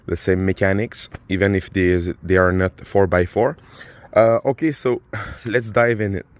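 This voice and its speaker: A middle-aged man with a French accent, a native French speaker, hosting a car repair channel and explaining a coolant change in a halting, casual way with many fillers. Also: on the low side. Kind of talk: casual how-to demonstration.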